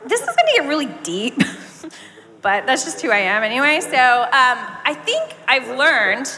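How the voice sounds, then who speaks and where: A woman's voice: high voice, a little slow. A woman speaking casually and animatedly on a panel as a voice actor, into a handheld microphone on a convention stage. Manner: animated, gesturing. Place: large convention stage, indoors. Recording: echoey hall, some background noise.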